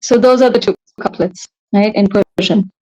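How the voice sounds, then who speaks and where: A woman's voice: medium pitch, moderate pace, a little mellow, dull audio. A middle-aged woman talking as a guest in an interview, thoughtful and searching for her words. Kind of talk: reflective interview conversation.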